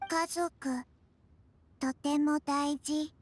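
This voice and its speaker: A woman's voice: high voice, fairly even intonation, very slow, slight background noise. A woman voicing a small, childlike fantasy girl in a game. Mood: solemn, slow. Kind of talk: scripted game dialogue.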